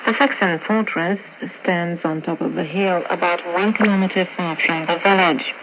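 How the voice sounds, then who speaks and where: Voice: medium pitch, fairly even intonation, moderate pace. A radio presenter reading scripted travel narration, heard over shortwave radio. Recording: noisy, muffled shortwave AM radio reception.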